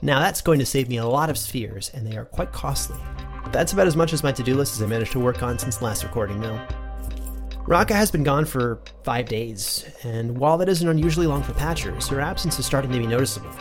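Actor as male fantasy teacher, middling pitch, conversational, reflective, audio journal, faint music. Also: expressive, brisk, lightly acted. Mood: chatty, reflective. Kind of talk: conversational in-character monologue and credits.

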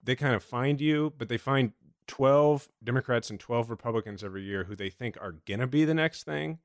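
A man's voice: mid-range voice, a little fast, no echo, studio quality. A man co-hosting a podcast, chatty and enthusiastic, rambling with many fillers and restarts. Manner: rambling, self-interrupting, enthusiastic with frequent fillers. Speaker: podcast co-host. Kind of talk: casual podcast chat.

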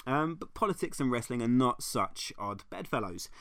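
A man hosting a comedy podcast, reading a scripted segment in a wry, deadpan, sarcastic way. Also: mid-range voice, moderate pace, clean tone, no echo. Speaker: podcast host.

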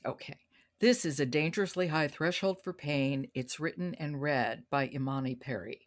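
A woman's voice: sits low, moderate pace. A woman hosting a podcast in a conversational style, talking about books. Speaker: podcast host. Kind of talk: conversational book discussion.

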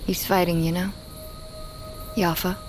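Restrained huntress, fairly low voice, an actress. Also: female voice, flat delivery, a little slow, soft background music, close to an everyday voice, low-quality recording. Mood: guarded concern. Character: guarded, blunt huntress of a wolf tribe. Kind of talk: audio fiction drama acting. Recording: outdoor ambience with crickets and insects.

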